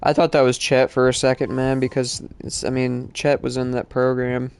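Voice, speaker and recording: A man's voice: medium pitch, little pitch movement, normal speed, gravelly, a little mellow, clear, resonant tone. A male streamer chatting casually while playing, sounding congested from allergies and rambling with lots of fillers. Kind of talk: live-stream gaming chat.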